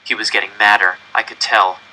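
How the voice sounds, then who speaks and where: A man's voice: on the low side, steady tone, fairly quick, bright, forceful tone, breathy edge, poor audio. A man reading a first-person story aloud with dramatic, tense delivery. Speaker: audiobook reader.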